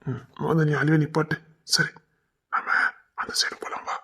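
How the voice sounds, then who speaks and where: Guy acting husky young man in love, tender n tearful, drama style. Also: fairly high pitch, little pitch movement, normal speed, bright, forceful tone, whispered, full character voice. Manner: tender, pleading, emotional.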